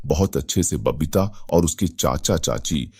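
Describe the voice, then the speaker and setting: A man's voice: a bass voice, animated, measured pace, darkish tone, slightly rough, no echo, studio quality. A man narrating a scripted moral family story as a storyteller.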